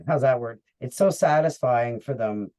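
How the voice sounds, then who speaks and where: A man hosting an interview, chatting in an animated, enthusiastic way with quick asides. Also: medium pitch. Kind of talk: casual interview chat.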